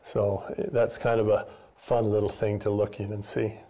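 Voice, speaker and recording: An older man walks and talks through a boiler room, rambling in a relaxed, folksy way. He fills his talk with "uh" and "um" and restarts his sentences often, like a former custodian sharing memories on a casual tour. The sound is picked up in a basement by a camera that follows him. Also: fairly low pitch, moderate pace. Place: basement boiler room of an old school building.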